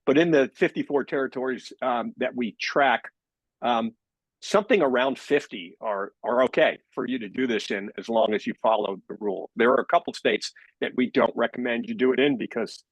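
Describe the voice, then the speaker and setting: A man's voice: medium pitch, moderate pace, no echo, low-quality recording. A man who runs a company, talking at length as the expert on a webinar and often repeating words as he starts a phrase. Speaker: company director and guest expert. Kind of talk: webinar explanation.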